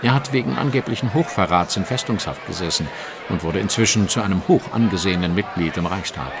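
An actor playing an astute, self-assured gentleman detective in an audio drama, precise and dryly teasing. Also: sits low, fast talker, noisy background. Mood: dry, knowing. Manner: precise, explanatory, dry. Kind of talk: German audio drama dialogue.

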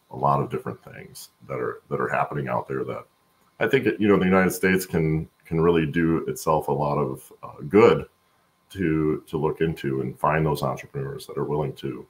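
A middle-aged man with a gravelly voice answering interview questions conversationally on a video call from home, haltingly thinking aloud. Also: rumbling low, a little hiss or hum. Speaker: guest, supply chain expert and voice-over announcer.

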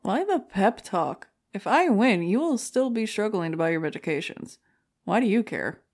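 A woman's voice: medium pitch, big pitch swings, normal speed, darkish tone. A woman playing a jaded, competitive comedian in an audio drama, sardonic, grudging and defeatist. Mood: scornful.

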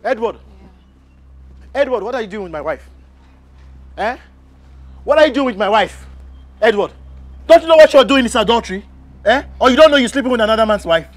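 A man's voice: lively intonation, normal speed, long pauses. A man playing a jealous husband who turns to tearful begging. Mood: accusing. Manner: accusing, then begging in a low broken voice.

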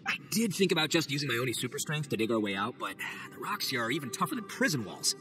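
A man voicing a boisterous young oni gang leader in a game, loud and swaggering. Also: medium pitch, big pitch swings, fast. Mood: joking, caring. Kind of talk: game cutscene voice acting.

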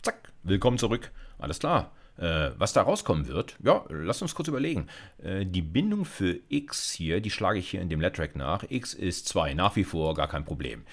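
Very deep-voiced male lecturer. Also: very animated, moderate pace. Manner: colloquial, addresses students directly, frequent check-in tags. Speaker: university lecturer. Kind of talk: informal screencast lecture with live coding demo.

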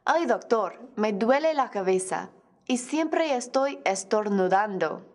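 A woman reading the lines of a patient telling a doctor about her symptoms, slowly and plainly. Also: fairly high pitch, animated. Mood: complaining. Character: adult patient describing symptoms.

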